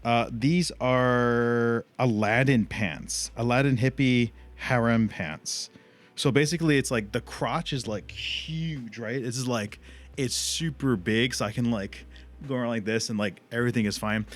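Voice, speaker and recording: A man's voice: mid-range voice, measured pace. A man chatting casually as a livestream host and gamer, rambling in loose slang with frequent swearing.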